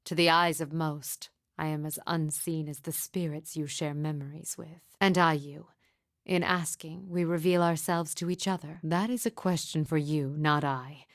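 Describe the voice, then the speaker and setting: A woman's voice: low-pitched, steady tone, normal speed. An actress playing an enigmatic, mournful woman seer in a fantasy video game, speaking in a formal, cryptic and solemn manner. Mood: calm, cryptic. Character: enigmatic, soft-spoken, mournful woman seer, veiled from others' eyes.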